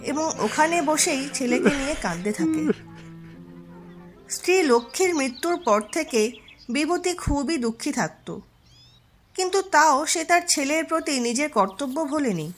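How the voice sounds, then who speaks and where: A woman's voice: high-pitched, animated, moderate pace, faint music behind. A woman narrator reading a scripted storybook tale for a cartoon.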